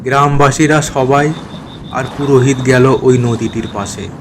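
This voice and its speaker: A man telling a scripted horror story as narrator, in a measured, dramatic manner. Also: steady tone. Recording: clear noisy ambient rumble behind narration.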